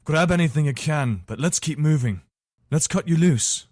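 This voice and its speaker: A man's voice: fairly high pitch, animated, normal speed, darkish tone, no echo, clean studio sound. A man acting an earnest, dutiful fantasy soldier. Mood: urgent, commanding. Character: dutiful, weary but decent male imperial soldier. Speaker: voice actor for a game mod. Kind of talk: fantasy video game character dialogue.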